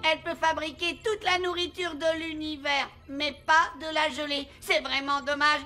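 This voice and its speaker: Woman voicing a very high-pitched friendly alien. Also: level tone, moderate pace, close to an everyday voice. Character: small, friendly, polite alien spaceship pilot. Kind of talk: animated children's cartoon acting. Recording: music behind the voice.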